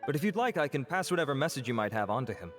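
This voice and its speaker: A man voicing a polite, calm young male academy official in a video game, formal and soothing, over game music. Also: medium pitch, racing, lightly acted. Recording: game audio under music.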